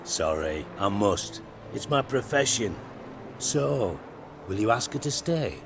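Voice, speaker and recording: A man's voice: fairly low pitch, sing-song, takes it slowly, gravelly, background noise, full character voice. A man playing a cynical, crude-tongued older spymaster in a video game cutscene, blunt and theatrical in delivery. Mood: mocking.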